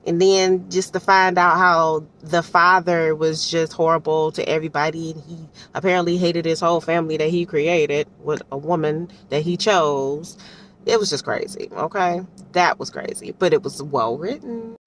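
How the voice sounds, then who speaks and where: Lady w a low voice just chatting abt books, super colloquial n animated, bit of noise in the back. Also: moderate pace. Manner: animated, colloquial, repeats phrases for emphasis; chatty, often checks in with the listener. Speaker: audiobook reviewer giving personal commentary. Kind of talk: casual, unscripted book commentary.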